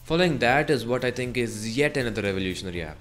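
A man's voice: medium pitch, expressive, quick pace, a bit bright. A man presenting a tech video as a scripted voice-over, reviewing in a narrator's style. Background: background music.

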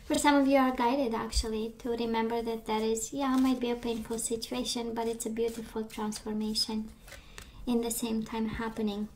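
A woman giving a casual tarot reading, chatty and reassuring, her voice strained as she loses it and clears her throat. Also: a little high, level tone, normal speed, a little hiss or hum, poor audio. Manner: chatty, reassuring, many fillers and restarts. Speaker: tarot reader. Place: indoors at a table of tarot decks.

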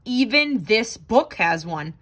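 A woman reading a children's picture book aloud in an expressive, dramatic, exclaiming way. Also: a little high, takes it slowly. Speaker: storybook reader.

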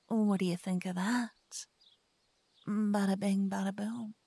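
A woman voicing a cocky, playful lover who whispers and teases softly in an ASMR roleplay. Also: mid-range voice, steady tone, takes it slowly, creaky, breathy edge, dry recording. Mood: playful teasing. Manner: playful, teasing, soft whispering. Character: cocky playful young woman, a devoted lover waking her partner. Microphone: binaural mic.

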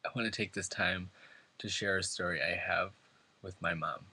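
A man's voice: low voice, steady tone, normal speed, bright, forceful tone, husky. A man from New York State reading a prepared eulogy at a funeral, earnest and emotional. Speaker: son giving a eulogy. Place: funeral service before family and friends.

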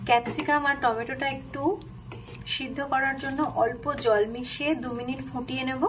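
A woman with a Bengali accent who hosts a cooking channel, calmly talking through a recipe step by step in her home kitchen. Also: high-pitched, fairly quick, noisy background. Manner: calm, plain instructional tone, listing ingredients.

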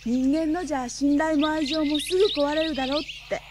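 A woman's voice: high-pitched, steady tone, fairly quick, lightly acted. An actress playing a carefree, girlish young woman who is unafraid, unruffled in a radio drama. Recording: noisy animal ambience behind.

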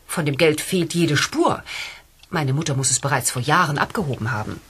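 An actress playing a bereaved middle-aged client and friendly hostess in a German audio drama. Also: female voice, low voice, expressive, normal speed, fairly bright tone, slightly rough, heavily acted. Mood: matter-of-fact.

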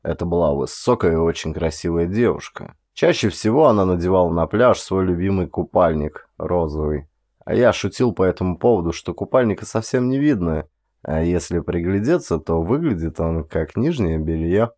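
A man with a very low voice reads a dark story aloud for an audiobook. His delivery is dramatic and expressive, and he lifts his voice for the lines of dialogue. He is from Russia and records close to a studio microphone. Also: moderate pace. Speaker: audiobook narrator.

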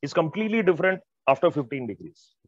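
A man's voice: a little high, big pitch swings, fast, no echo. A middle-aged man with an Indian accent explains technical material informally and emphatically on a video call, repeating phrases and addressing listeners directly. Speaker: audio expert presenter. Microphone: wired earphones on a video call. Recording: dull band-limited video-call audio.

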